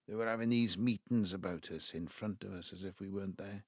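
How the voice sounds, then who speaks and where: An actor playing an embittered, wistful Welsh father in his seventies, with a South Wales Valleys accent and a halting, reflective delivery in an audio drama. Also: darkish tone, no room sound, studio quality. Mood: rueful. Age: 70s. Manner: halting, reflective, breaking into low muttering. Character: embittered ageing Welsh patriarch, wistful and self-justifying. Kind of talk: naturalistic audio drama monologue.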